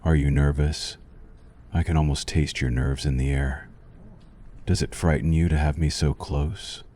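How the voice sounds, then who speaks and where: A man playing a sinister, possessive vampire doctor in an ASMR roleplay, silkily courteous and softly menacing, with slow, deliberate delivery. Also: very deep voice, steady tone. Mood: clinical, predatory. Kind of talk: ASMR roleplay addressed to the listener.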